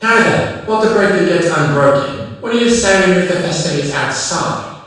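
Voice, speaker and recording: A man's voice: fairly high pitch, expressive, normal speed, fairly bright tone. A man voicing a fussy, exasperated head scientist in a cartoon fandub, declaiming and scolding. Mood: coaxing. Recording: distant echoey room, noisy, clicks.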